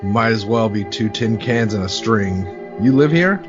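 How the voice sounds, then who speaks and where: An actor playing a tough, urgent truck driver in a horror audio drama, talking in a folksy, slangy, commanding way. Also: male voice, on the low side, animated, unhurried, music in the background. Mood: urgent, gruff. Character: tough, urgent truck driver taking charge under siege. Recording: dull lo-fi recording.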